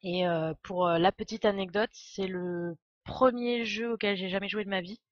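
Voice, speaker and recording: A young woman hosts a game stream in an informal, hesitant way, her nose stuffed by a cold. The sound is dry and close, slightly dull and lo-fi, with a medium-pitched voice. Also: fairly even intonation, normal speed, no room sound. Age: 20s. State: congested with a cold. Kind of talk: casual live stream chat.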